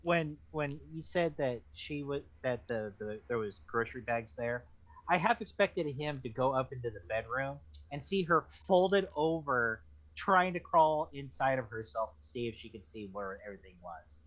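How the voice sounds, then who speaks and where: A man joking in a casual book club chat, self-deprecating and lively in tone. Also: mid-range voice, moderate pace. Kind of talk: casual banter. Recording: dull lo-fi mic.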